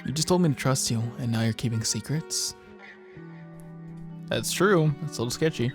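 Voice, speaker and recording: A man's voice: mid-range voice, moderate pace, over music. A man chatting and joking as he reads a visual novel aloud, often breaking off to restart. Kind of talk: casual gaming chat and read-aloud.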